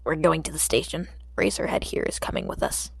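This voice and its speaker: A stern cat-headed policeman, acted by a woman, low and gravelly. He sounds exasperated, huffy and curt in an audiobook performance with a dry, close sound. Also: lively intonation, fast talker, dry recording, exaggerated voice. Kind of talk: audiobook character acting.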